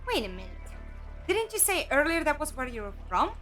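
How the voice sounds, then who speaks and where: An actress in a fan audio drama playing a wry, sceptical, questioning companion to a time traveller. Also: female voice, high-pitched, very animated, brisk, fairly bright tone, soft background music. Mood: puzzled.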